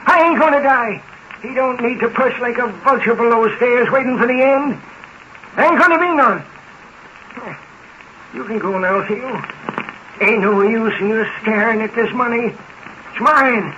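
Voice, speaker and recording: An actor playing a sick, miserly old farmer with a rural American accent, querulous and demanding, in 1940s radio drama style. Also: male voice, extremely high pitch, measured pace, pauses often, bright, forceful tone, heavily acted. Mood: crabby, suspicious. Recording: muffled, hissy 1940s radio recording.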